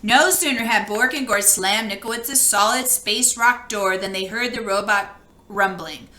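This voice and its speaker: A woman reading a children's storybook aloud in an expressive narrating style. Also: medium pitch, a little slow, echoey room. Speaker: storybook reader.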